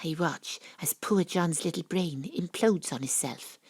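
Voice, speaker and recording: Low-voiced, wry woman detective. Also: a little slow, darkish tone, breathy edge, no room sound. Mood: wry narrating. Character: cool, wry female private detective. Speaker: lead actor and narrator. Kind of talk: noir audio drama narration and dialogue.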